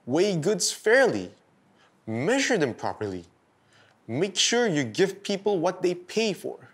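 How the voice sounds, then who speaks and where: A man voicing an earnest, patient male prophet in a children's storybook, admonishing his people in a firm, measured way. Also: sits high, very animated, slightly rough, voice pushed up, full character voice.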